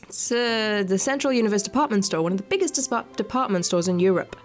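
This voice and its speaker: A woman's voice: mid-range voice, expressive, fast talker. A woman doing a visual novel playthrough, reading the text aloud expressively and adding playful asides. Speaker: gaming video creator. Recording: music behind the voice. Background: game music.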